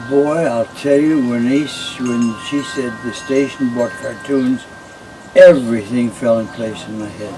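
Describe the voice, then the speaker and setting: Older man, unhurried storyteller quoting old conversations, recorded at a distance over clear noise. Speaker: former children's TV host. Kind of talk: casual storytelling. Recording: distant mic, loud vehicle-like background rumble.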